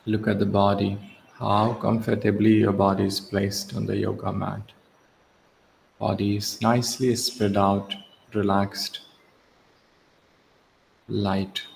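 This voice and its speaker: A man leading a guided relaxation as a yoga instructor, speaking in short, measured instructions with long pauses, recorded in a reverberant room. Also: on the low side, flat delivery, creaky, a little mellow. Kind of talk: slow guided meditation. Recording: echoey reverberant room, faint background hiss.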